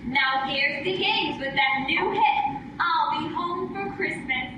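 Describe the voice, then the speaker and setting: A woman's voice: sits high, takes it slowly. A woman playing an upbeat radio announcer reading a scripted sponsor message in old-time radio style. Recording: echoey hall, distant, loud background noise.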